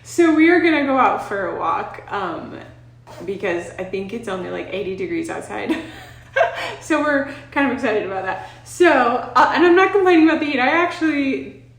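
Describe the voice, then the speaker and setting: Chatty, higher-pitched woman vlogger. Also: animated, moderate pace, breathy edge. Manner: rambling, chatty, giggles often. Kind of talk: casual chat to camera.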